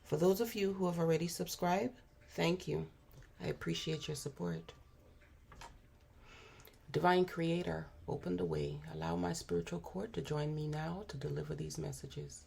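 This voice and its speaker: A hoarse woman with a cold, giving a spiritual tarot reading in an unhurried, musing way with frequent pauses and fillers. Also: sits low, a little mellow, slight background noise. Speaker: tarot reader.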